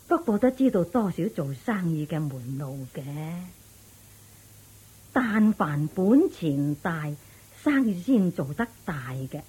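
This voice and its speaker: A woman's voice: lowish voice, dark tone, slightly rough, heavily acted, poor audio. An actress playing an anxious, practical widowed mother in a radio drama, sighing and scolding, with a Hong Kong Cantonese accent. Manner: sighing, fretful, scolding at interruptions. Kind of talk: Buddhist radio drama acting.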